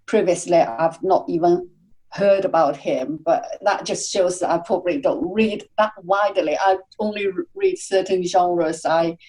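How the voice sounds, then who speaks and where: A woman with a warm, mellow and slightly low voice answers interview questions as a literary guest. She pauses often, filling the gaps with uh and you know as she works out her thoughts. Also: rough recording. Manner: hesitant, many "uh" and "you know" fillers. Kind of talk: casual interview chat.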